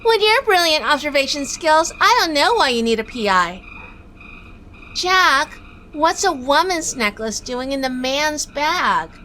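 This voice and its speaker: A woman's voice: high voice, lively intonation, a little slow, pushed and bright, a little hiss or hum, heavily acted. A woman playing a wisecracking, bossy private eye in a 1940s noir audio drama, sardonic and secretly lovestruck. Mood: sharp, exasperated. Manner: sardonic, scolding. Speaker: lead actor and narrator.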